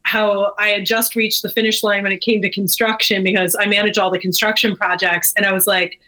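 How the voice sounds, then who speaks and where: Bright-voiced woman in casual conversation. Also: mid-range voice, flat delivery, fairly quick, low-quality recording. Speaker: guest, brewery co-owner.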